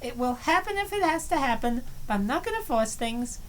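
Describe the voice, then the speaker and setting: A woman reading a visual novel's dialogue aloud in a clear, measured way. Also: high voice, expressive, slight background noise. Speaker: game streamer.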